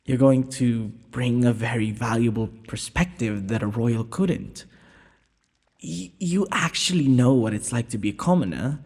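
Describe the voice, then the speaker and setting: A man playing a gentle, courteous young prince in a romantic audio roleplay, speaking formally and a little hesitantly. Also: mid-range voice. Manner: formal, polite, breathy speech with hesitations. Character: gentle, courteous young underground prince, nervous, earnest suitor. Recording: long hall-like reverb.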